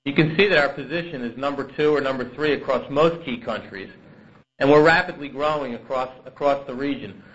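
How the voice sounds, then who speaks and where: A male company executive giving a prepared business presentation on a conference call, in a measured, businesslike way. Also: medium pitch, a bit bright, lo-fi sound.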